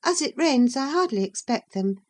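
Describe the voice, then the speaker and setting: A woman reader voicing a petulant, irritable young woman who sounds high-pitched and demanding. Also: animated, moderate pace. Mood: evasive.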